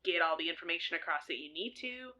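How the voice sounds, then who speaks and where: A woman's voice: lowish voice, expressive, fast talker, bright, forceful tone. A woman co-hosting a podcast, explaining her views in a thoughtful casual chat, often hedging with "like". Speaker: podcast co-host.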